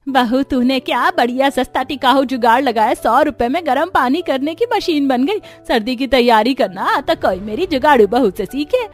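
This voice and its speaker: A woman's voice: high-pitched, lively intonation, normal speed, few pauses. A woman voicing a blunt, grumbling old mother-in-law in a cartoon about a poor family. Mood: impressed. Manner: scolding, blunt. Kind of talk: Hindi cartoon story acting.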